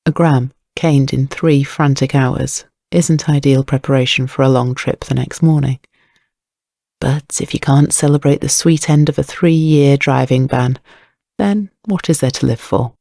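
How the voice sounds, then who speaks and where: A man's voice: medium pitch, fairly even intonation, unhurried, creaky, warm tone, no echo, studio quality. A man narrating scripted crime fiction as an audiobook, with wry, literary storytelling.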